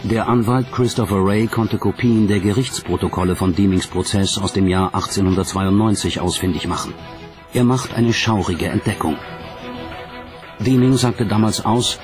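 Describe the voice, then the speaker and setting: A man reading scripted documentary narration in a measured, dramatic manner. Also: fairly low pitch. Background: music.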